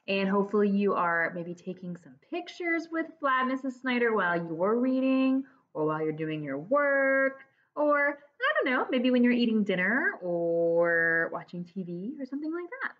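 A woman in her forties reads a children's book aloud with lively, swooping intonation. She explains things to young listeners as a teacher would. The sound is slightly dull, from a laptop mic in a room at home. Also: mid-range voice, normal speed. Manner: expressive, explanatory, addressing children directly. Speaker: teacher reading aloud to pupils. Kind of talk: children's storybook read-aloud. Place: dining room at home, indoors. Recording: dull lo-fi webcam audio.

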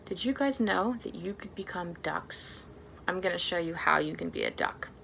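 A woman in her thirties leading a storytime for young children as a librarian, talking in an animated way with simple, exaggerated phrasing. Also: fairly low pitch, moderate pace, vocal fry, slight background noise. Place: small plain indoor room.

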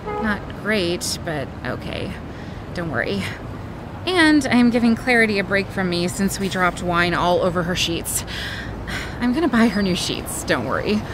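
A woman's voice: mid-range voice, very animated, measured pace, noisy background. An actress playing a wry, anxious professional woman near forty in an audio drama. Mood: subdued, apologetic.

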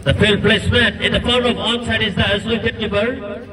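A man with an Assamese accent giving live cricket commentary at an outdoor night match, growing excitable and shouting on big hits. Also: high-pitched, a little fast. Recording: distant loudspeaker voice over crowd noise. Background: large crowd.

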